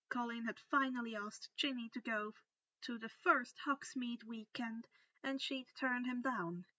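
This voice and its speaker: A woman's voice: a little high, bright, forceful tone, no echo, highs cut off. A woman reading a scripted story aloud as its narrator. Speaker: narrator of fan fiction.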